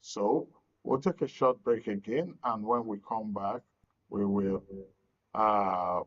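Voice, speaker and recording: Male Nigerian programme host, lower register, very unhurried and deliberate, dull lo-fi audio. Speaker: host of a live discussion programme. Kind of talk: live broadcast presenting. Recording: dull, lo-fi broadcast sound.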